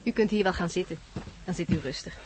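A woman acting in a radio drama as a polite, solicitous librarian with a gentle, helpful manner. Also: fairly low pitch, fast, background noise, natural voice.

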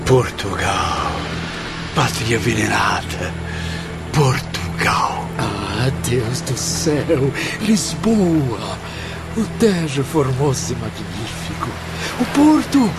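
An actor playing a homesick, reverent spirit in a radio drama, sighing and rhapsodic. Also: male voice, medium pitch, very animated, drawn-out words, pauses often, loud music behind the voice, heavily acted. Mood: nostalgic, overcome. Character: homesick, reverent Portuguese spirit patient moved by memories.

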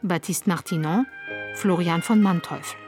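A woman's voice: fairly low pitch, moderate pace. A woman reading scripted radio play credits as a broadcast announcer. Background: music.

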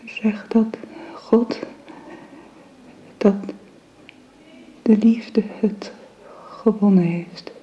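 A woman's voice: medium pitch, fairly even intonation, very slow, pauses often. A woman in a Dutch radio drama playing a frail dying mother, speaking weakly and whispering in effortful broken phrases. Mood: weak, pleading. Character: frail dying mother, weak and peaceful, on her deathbed. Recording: dull radio recording, faint noise.

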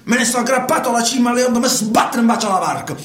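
An actor playing a troubled working fisherman in a colloquial, halting radio monologue, repeating himself. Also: extremely high pitch, fairly bright tone, reverberant. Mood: frantic, urgent. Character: troubled working fisherman recounting life at sea. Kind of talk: theatrical radio monologue in conversational storytelling.

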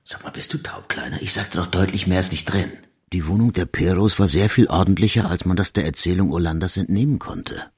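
An actor playing a dogged police detective in a radio crime drama, speaking in a measured, formal, probing way. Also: male voice, low-pitched, breathy edge. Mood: gruff, then matter-of-fact. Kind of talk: radio crime drama dialogue and first-person narration. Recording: music under the voice.

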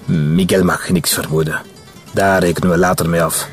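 A man acts out a calculating Spanish conspirator in a radio drama. His voice is low, with a Spanish accent and bursts of Spanish phrases, and music plays clearly behind him. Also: fairly even intonation, normal speed, natural voice. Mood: cold, plotting. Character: suspicious, calculating Spanish militant conspirator, leader type.

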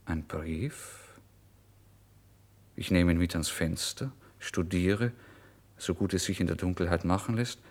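A man's voice: sits low, unhurried, pauses often. An actor playing a penniless, emotional writer who recounts his misery in a theatrical, intense German radio drama reading. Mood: brooding, tense. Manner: theatrical, intense, swinging between hushed and exclamatory. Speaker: first-person narrator.

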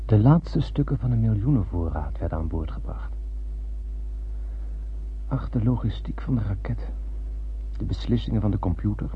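An actor playing a wistful spaceman who narrates his voyage in a radio play, lyrical and deliberate, with poetic wonder. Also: fairly low pitch, lively intonation, a little hiss or hum, lightly acted. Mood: awed, descriptive. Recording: narrow, tinny old radio broadcast.

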